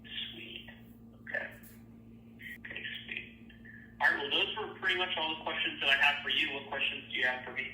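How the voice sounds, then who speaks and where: A man's voice: on the high side, moderate pace, long pauses, sharp tone. A man conducting a casual but professional job interview by phone, explaining things informally and checking in as he goes. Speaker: job interviewer, hiring manager. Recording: roomy, distant phone line, faint noise.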